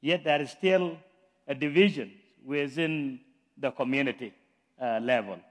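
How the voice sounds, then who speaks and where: A man from South Sudan speaks earnestly as a guest pastor, his voice sitting at an ordinary middle pitch. He presses harder when he makes a point. A long reverb hangs on his words in the church. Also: a little slow. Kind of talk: church talk. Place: church sanctuary.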